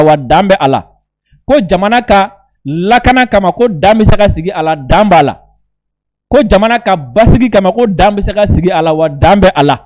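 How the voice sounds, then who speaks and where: A man's voice: sits high, a little mellow. A man with a West African accent, a native Bambara speaker, reading statements aloud and commenting on them informally in an emphatic, repetitive way. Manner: emphatic, repeats phrases for emphasis. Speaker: online video commentator.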